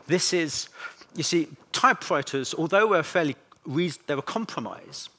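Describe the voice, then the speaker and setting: A man speaking as a conference presenter in a technical talk, with a wry, opinionated manner and emphatic pauses. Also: on the high side, animated, bright, forceful tone, breathy edge.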